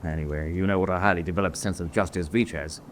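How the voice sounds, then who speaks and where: Brisk, low-voiced wartime naval officer played by a man. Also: steady tone, a little hiss or hum, close to an everyday voice. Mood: resolute. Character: measured, principled German senior U-boat officer, a prisoner of war. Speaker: actor. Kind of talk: radio drama acting.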